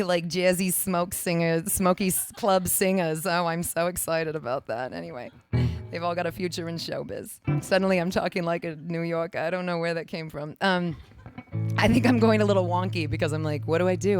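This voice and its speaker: A raspy woman in her thirties, a singer-songwriter rambling and joking between songs on a stage. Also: lowish voice. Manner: rambling, self-mocking, quick asides. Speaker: singer-songwriter performing live. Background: guitar playing.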